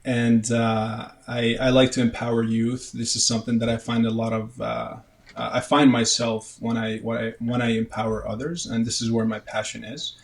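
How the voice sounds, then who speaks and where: A young man from Canada answering interview questions in a halting way, with many fillers and restarts. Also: medium pitch, level tone, vocal fry. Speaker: guest, medical student.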